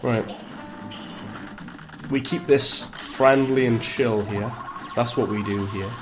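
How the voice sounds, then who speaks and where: A man's voice: medium pitch, steady tone, takes it slowly, music in the background. A man with a Welsh accent streaming a game live, chatting casually, greeting viewers and reacting aloud to the play. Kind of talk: live gameplay commentary and chat replies.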